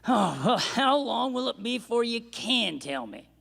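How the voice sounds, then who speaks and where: A man playing an impatient, grumbling Old West rancher with a gravelly voice in a live radio play in an echoey hall. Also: very high-pitched, sing-song, fairly bright tone, heavily acted. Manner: folksy drawn-out cowboy grumbling.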